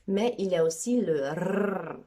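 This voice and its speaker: Brazilian woman, 20s, Brazilian Portuguese accent, low-ish voice, slow chatty amateur teacher. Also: mellow. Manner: chatty, repeats sounds slowly to demonstrate. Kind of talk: casual video lesson.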